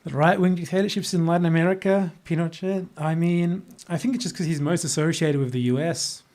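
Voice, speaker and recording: The fairly high-pitched Australian guy on a late livestream, fielding chat questions with blunt, sardonic opinions. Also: fairly even intonation, fairly quick, darkish tone. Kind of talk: casual off-the-cuff political chat.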